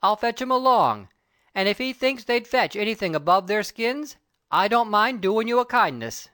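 Woman doing a sentimental burglar, gruff, loud, lively pitch, dry sound. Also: normal speed, no room sound, natural voice. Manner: loud, rough working-class speech.

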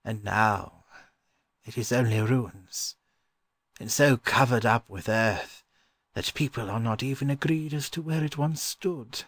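Gentle, weary, courteous scholar played by a man. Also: medium pitch, no room sound. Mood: wistful. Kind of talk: dramatized children's audiobook.

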